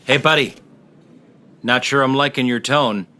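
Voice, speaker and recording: An officious immigration officer in an audio comedy. His voice is bright and hard-edged at a medium pitch. He is suspicious and condescending, delivering everything with bureaucratic deadpan. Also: unhurried. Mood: curt, suspicious. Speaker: actor in an audio play. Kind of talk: comedic audio drama acting.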